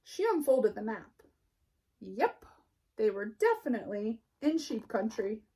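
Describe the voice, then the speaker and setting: A woman reading a children's picture book aloud as narrator, expressively and deliberately, with dramatic pauses. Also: a little high, very animated, warm tone, husky. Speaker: storybook reader.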